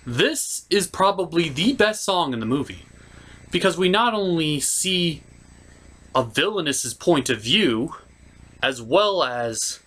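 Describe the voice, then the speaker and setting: Guy doing funny movie review commentary, opinionated, rambly, pitch moves around a lot. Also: a bit bright, breathy edge. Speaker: host, puppeteer and film reviewer.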